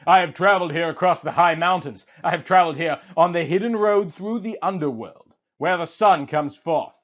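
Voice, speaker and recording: A man's voice: fairly high pitch, moderate pace, natural voice. Man acting a grief-stricken warrior king, muffled recording. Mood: proud, urgent. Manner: impassioned, lamenting declamation.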